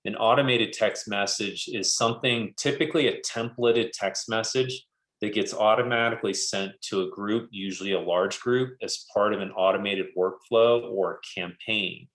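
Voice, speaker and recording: A man with a fairly low voice presents in an informal business style. The sound is noticeably roomy and echoey. He explains point by point, often going back to rephrase. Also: moderate pace, fairly bright tone. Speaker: webinar presenter.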